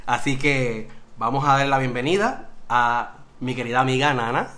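A man's voice: medium pitch, normal speed, pushed and bright. A man hosting a casual interview, friendly and hesitant, often restarting his questions. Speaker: podcast host.

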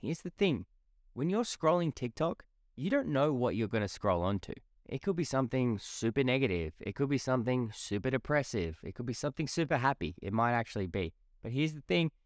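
A man's voice: mid-range voice, very animated, a little fast, no room sound, studio quality. A man in his twenties hosting a solo motivational podcast. He talks in a casual, chatty, blunt way and swears freely. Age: 20s. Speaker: podcast host.

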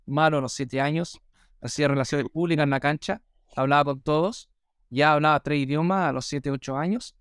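A man born in Australia whose first language is English, hosting a podcast and telling stories in a lively way with many fillers. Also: medium pitch, smooth, no room sound, studio quality. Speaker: podcast host. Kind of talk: casual chat.

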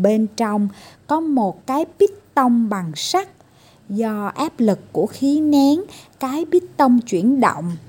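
A woman's voice: on the high side, warm tone, slightly rough. A woman storyteller reading a children's fairy tale as scripted narration.

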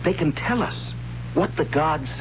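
A man's voice: a little high, lively intonation, unhurried. An actor playing a curious, tender young man of a future tribe in an old radio drama. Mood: earnest. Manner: earnest, wondering. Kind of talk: 1970s radio drama acting. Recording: lo-fi old radio recording with hiss.

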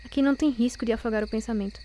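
An actress playing a proud, cutting young wife in a Brazilian radio soap opera, with formal, provoking speech. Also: female voice, a little high, fairly even intonation, very fast, warm tone, slight background noise, close to an everyday voice. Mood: teasing, disdainful. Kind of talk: radio soap opera acting of a literary classic.

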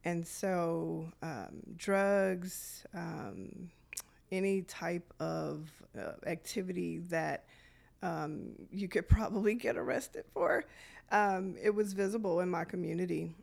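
A woman's voice: on the low side, takes it slowly, gravelly, a little mellow. A middle-aged woman from Detroit, a youth programs director, telling her life story in a podcast interview, reflective and full of fillers.

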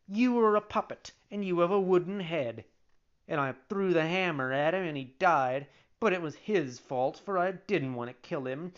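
Storybook puppet boy played by an adult reader: raised pitch, petulant and whiny, childish breathless pleading, slightly dull recording. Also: clear, resonant tone, lightly acted. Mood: breathless complaining.

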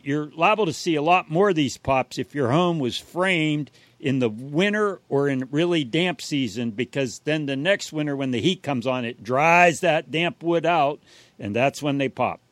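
A man's voice: fairly high pitch, animated, unhurried, no room sound. A man co-hosting a home repair radio show, handing out advice and comic banter with teasing wisecracks.

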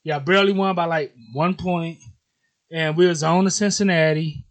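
A man's voice: on the high side, steady tone, normal speed. An American man co-hosting a casual sports podcast, talking football in an informal, slangy, teasing way.

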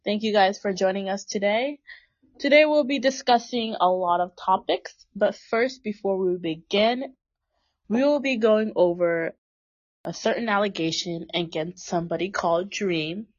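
A woman's voice: mid-range voice, moderate pace, dry recording. A woman in her twenties hosting a casual podcast, talking in a chatty, opinionated way with lots of fillers. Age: 20s. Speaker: podcast host. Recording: dull lo-fi sound.

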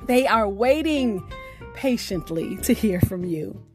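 A woman hosting a podcast, reading scripted announcements in a deliberate, carefully enunciated way. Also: medium pitch, expressive, darkish tone, faint music behind. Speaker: podcast host.

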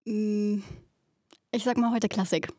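A woman's voice: mid-range voice, slow pace, no echo. 20-something woman from berlin, chill answers, lots of ums, dry mic. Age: 20s. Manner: relaxed, easygoing, hesitant fillers. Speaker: guest, actress. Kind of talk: casual interview answers.

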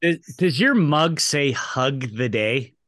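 Bright-voiced male podcast host. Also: mid-range voice, animated, dry recording. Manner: brisk, organized intros. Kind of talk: casual podcast chat.

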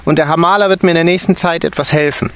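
A man's voice: fairly high pitch, steady tone, fast, fairly bright tone, pitched above the performer's own voice, natural voice. A man voicing a strict, cold female kindergarten teacher who scolds and gives orders. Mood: stern. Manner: commanding, scolding.

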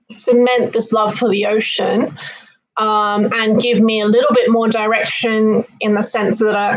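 A woman's voice: mid-range voice, poor audio. British woman telling her own story. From London, United Kingdom. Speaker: interview guest, marine biologist. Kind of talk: conversational personal storytelling.

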